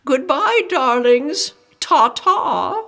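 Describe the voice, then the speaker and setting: Fluting, high, fancy aunt spoon. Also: female voice, sing-song, extremely slow, gravelly, fairly bright tone, full character voice. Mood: grand, breezy. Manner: fluting, affected, posh. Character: fancy, proper aunt spoon, grandly genteel.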